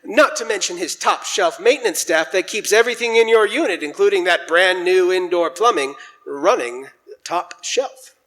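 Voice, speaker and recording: A high-voiced man plays announcer for a live radio show. His delivery is bright, showy and jocular, in old-time sponsor-read style, and it rings through a large reverberant hall. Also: normal speed. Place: large church, live stage performance.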